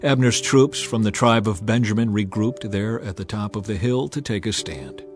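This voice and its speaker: A man's voice: lowish voice, normal speed. Solemn male scripture narrator over music.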